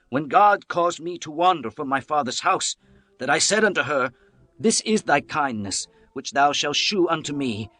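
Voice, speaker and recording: An ancient patriarch explaining himself earnestly and anxiously. The voice is bright and fairly high, with archaic wording suited to scripture drama. Its pitch moves in lively swings. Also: male voice. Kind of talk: dramatized scripture audiobook acting.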